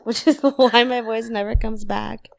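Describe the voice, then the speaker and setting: A young woman with a raspy, worn voice chatting casually and animatedly as a podcast co-host. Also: fairly high pitch, steady tone, unhurried, dry recording. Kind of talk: casual chat.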